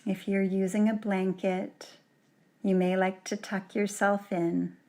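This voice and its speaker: Female yoga nidra guide, mid-pitched, very unhurried and gentle, soothing delivery. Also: level tone. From Canada. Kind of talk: guided relaxation meditation.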